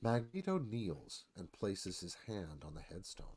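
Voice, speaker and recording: A man's voice: low voice, wildly swooping pitch, unhurried, darkish tone, breathy edge. A man narrating scripted scene directions as the narrator of a radio theatre read.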